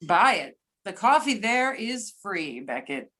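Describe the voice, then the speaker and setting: Brisk female agent, read by a woman, wry, mid-pitched, for an amateur audio play. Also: very animated, fairly bright tone, full character voice. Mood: teasing, brisk. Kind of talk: amateur readers theater over a remote call.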